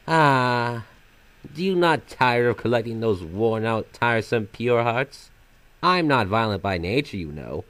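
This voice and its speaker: A man's voice: medium pitch, fairly bright tone, dry recording. A man voicing a flamboyant magical trickster villain from a video game, half silly and half sinister, with florid, mock-polite theatrical delivery. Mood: taunting. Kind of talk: fan voice-over of video game villain lines.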